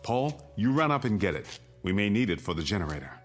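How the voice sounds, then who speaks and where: Low-voiced, urgent American father. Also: very animated, a little fast, soft background music. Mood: steadying, tense. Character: urgent, steadying father and husband taking charge. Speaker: actor in a radio drama. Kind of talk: dramatic radio acting.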